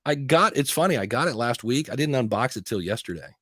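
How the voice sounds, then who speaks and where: A man's voice: medium pitch, lively intonation, fast talker, a bit bright, breathy edge, no room sound, studio quality. A man hosting a podcast, chatting casually about audio gear and often restarting sentences or quoting himself. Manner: rambling, restarts and self-quotes. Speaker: podcast host. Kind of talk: casual tech chat. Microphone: studio dynamic mic.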